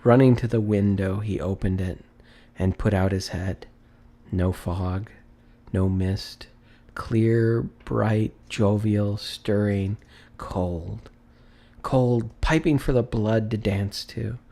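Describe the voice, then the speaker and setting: Slow, theatrical male storyteller with creaky edge. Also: lowish voice, mellow. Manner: theatrical, deliberate, savouring words. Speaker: audiobook narrator. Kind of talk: dramatic scripted storybook narration.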